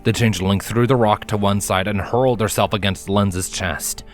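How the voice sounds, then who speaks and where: A man reading a story as dramatic scripted narration, in a measured, solemn manner. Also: lowish voice, steady tone. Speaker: fan fiction reader, narrator. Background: background music.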